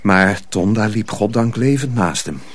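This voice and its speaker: Low, expressive male storyteller. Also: a little slow. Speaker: narrator. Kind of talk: scripted storybook narration in a radio play.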